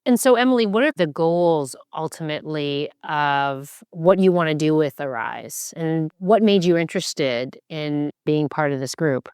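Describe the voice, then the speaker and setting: A woman hosts an interview podcast in a slightly low, clean voice. She puts questions conversationally, and the sound is dry and close. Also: expressive, measured pace, hardly any pauses, darkish tone, clean tone, no echo. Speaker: podcast host.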